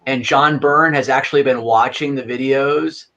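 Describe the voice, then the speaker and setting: A man guest on a podcast, talking in a relaxed, easygoing way and laughing often. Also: medium pitch, moderate pace, highs cut off. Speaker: guest, fan film creator. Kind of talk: casual interview chat.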